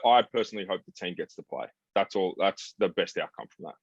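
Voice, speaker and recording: A man's voice: low voice, animated, quick pace, gravelly, no echo. A man hosting a sports podcast in casual conversation, talking in a blunt, laid-back, matey way. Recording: slightly dull, lo-fi sound.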